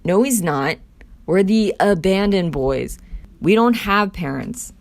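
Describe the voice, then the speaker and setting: A woman's voice: medium pitch, animated, takes it slowly, creaky, voice pushed up. An angry teenage orphan boy, read by a woman in a scene read-through. The delivery is accusing and insistent, pressing each point with bitterness. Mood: disbelieving. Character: Henry, angry bitter sixteen-year-old orphan boy. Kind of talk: scripted scene table read.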